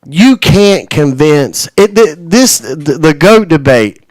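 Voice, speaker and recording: A man's voice: fairly high pitch, big pitch swings, slow pace, no echo. A man in his forties hosting a radio show, chatting casually, rambling with frequent restarts and chuckles. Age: 40s. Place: radio studio.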